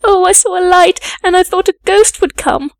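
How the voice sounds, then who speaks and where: A woman's voice: very high-pitched, moderate pace, dry recording, studio quality, pitched above the performer's own voice. A woman voicing a terrified, passionate young girl who pleads desperately in an audiobook story. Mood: frightened. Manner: breathless exclamations, desperate begging.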